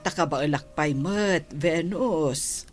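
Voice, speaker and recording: A woman's voice: low voice, slow, soft background music. A woman playing a contrite middle-aged Filipino aunt in a radio drama. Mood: kindly. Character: contrite middle-aged aunt, softened toward her niece.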